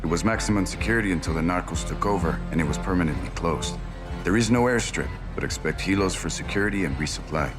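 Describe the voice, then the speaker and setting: A man's voice: sits low, fast, over music, lightly acted. A man with a Mexican accent playing an earnest, loyal special forces soldier in a video game cutscene, plain and businesslike.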